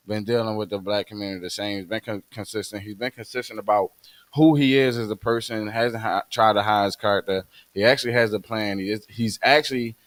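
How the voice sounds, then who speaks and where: Man, low voice, halting casual podcast talk, dry close recording. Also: fairly bright tone, no room sound. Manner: halting, many fillers and restarts. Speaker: podcast co-host.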